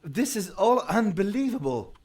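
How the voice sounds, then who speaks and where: A man's voice: high voice, very animated, normal speed, a little mellow, slightly rough, voice pushed up, full character voice. A man voicing a bewildered, awkward young newcomer in an audiobook, sounding amazed.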